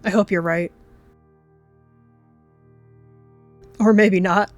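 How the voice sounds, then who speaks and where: A woman voicing a quiet, sceptical but loyal male cat in a reserved, mildly annoyed way. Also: medium pitch, fairly even intonation, moderate pace, lo-fi sound, soft background music, natural voice. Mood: resigned.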